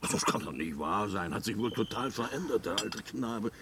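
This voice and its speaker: A tactless, effusive upper-class gentleman in a radio drama. His voice leaps about in pitch as he gushes and chatters with antique gallantry. Clear background noise sits behind him. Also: expressive, normal speed. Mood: chatty, tactless. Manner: gushing, chatty, old-fashioned gallantry. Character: blustering upper-class English old school chum, tactless, effusive. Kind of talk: German radio drama acting.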